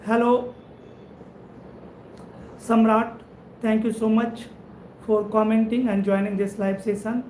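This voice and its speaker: A man with an Indian English accent chats on a live stream in a steady, flat tone, warmly thanking viewers one by one. His voice carries a roomy echo, and faint background noise sits under it. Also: high voice, slow pace, pauses often. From India (Madhubani, Bihar). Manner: friendly, repetitive thanks, frequent "right?" tag. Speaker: networking instructor and channel host. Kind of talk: live-stream chat reading viewer comments.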